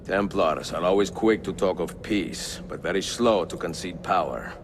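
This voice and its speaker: A man playing a stern, seasoned Italian master assassin in a video game, grave and measured, with an Italian accent. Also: low voice, roomy sound, noisy background. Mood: cold, contemptuous. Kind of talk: dramatic video game cutscene acting. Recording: game audio under loud music.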